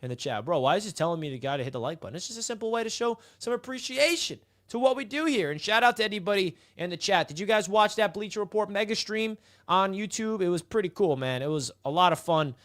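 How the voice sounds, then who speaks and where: A man's voice: sits high, expressive, fast, hardly any pauses, fairly bright tone. A man hosting a casual live sports stream, talking straight to his chat in a hyped, chatty way. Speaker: livestream host. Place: outdoors in the sun.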